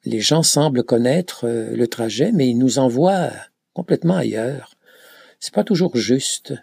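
Gravelly, hesitant man. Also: medium pitch, expressive, a little slow, darkish tone, dry recording, clean studio sound. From Canada. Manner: hesitant, many filler sounds and restarts. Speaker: pilgrim recounting a journey. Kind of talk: reflective travel account.

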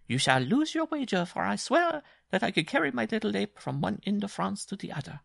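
A man's voice: sits high, sing-song, brisk, vocal fry, a bit bright, dry recording, studio quality, voice pushed up, heavily acted. A man voicing a big, strong, domineering young bride, boisterous and mocking, in a theatrical storybook reading. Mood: boastful, taunting.

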